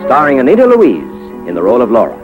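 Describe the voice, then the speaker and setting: A man's voice: mid-range voice, wildly swooping pitch, quick pace. An American man announcing in polished, emphatic scripted style on 1950s radio. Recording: dull vintage 1950s radio recording. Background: music.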